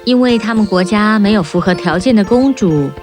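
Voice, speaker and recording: A woman narrates a children's fairy tale in a clear, even storybook manner. Her voice sits at a middle pitch. Music plays clearly beneath her. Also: a little fast. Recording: narration over prominent music.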